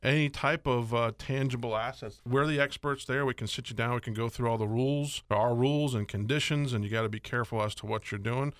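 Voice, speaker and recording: A man's voice: medium pitch, fairly even intonation, brisk, hardly any pauses, no room sound, studio quality. A middle-aged man hosting a radio show on money and retirement, chatty and upbeat, slipping jokes in between the advice. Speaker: radio show host, financial advisor. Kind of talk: casual radio talk. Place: radio studio.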